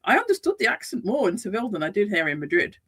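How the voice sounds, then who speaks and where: A British-born woman talks through a relaxed interview, her voice swinging widely up and down as she speaks. She restarts sentences often and laughs easily, with a middle-pitched voice. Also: rapid-fire, slightly rough. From United Kingdom. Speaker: guest, psychotherapist and life coach. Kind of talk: casual conversational interview. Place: Madrid, Spain.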